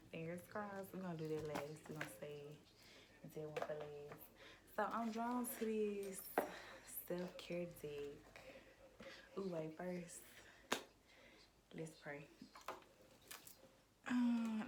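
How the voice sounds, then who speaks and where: Young woman, low and slightly raspy, slangy and relaxed, recorded on a noisy phone mic. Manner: laid-back, rambling, slangy, laughs often. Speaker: tarot reader. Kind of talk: casual chat. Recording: noisy background hiss.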